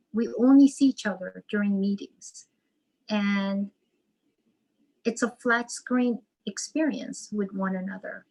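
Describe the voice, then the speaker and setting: A woman author and psychotherapist answering interview questions in a measured, reflective way over a video call. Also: on the high side, low-quality recording. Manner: slow, measured, thoughtful with repetitions.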